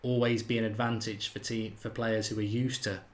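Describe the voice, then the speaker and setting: A man's voice: lowish voice, little pitch movement, normal speed, slight background noise. A man with a slight Irish accent hosting a live football watchalong, chatting and thinking aloud while he reads out viewer comments. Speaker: host of a live match watchalong.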